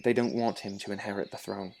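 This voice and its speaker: Man playing a mellow-voiced, loyal young knight. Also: lowish voice, fairly even intonation, fast talker, creaky. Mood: serious, explaining. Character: loyal, level-headed young knight, prince's confidant. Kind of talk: audio drama acting.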